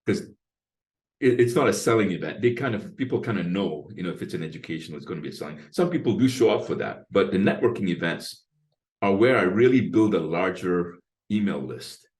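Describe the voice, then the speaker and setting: A man in his sixties with a deep bass voice, talking at length as an interview guest and explaining his approach point by point. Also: expressive, a little fast, darkish tone. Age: 60s. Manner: talkative, explains with lists and asides. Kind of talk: casual interview talk.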